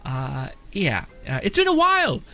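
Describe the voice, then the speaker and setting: A man's voice: on the high side, huge pitch swings, normal speed, bright, forceful tone, soft background music. A young man giving casual, rambling, joking let's-play commentary as a gaming streamer.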